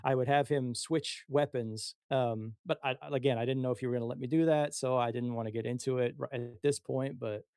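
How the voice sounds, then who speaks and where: A man chatting casually as a player creating a character, tentative and halting in how he talks. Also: medium pitch, steady tone, darkish tone, clean tone, no echo, studio quality. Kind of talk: casual chat.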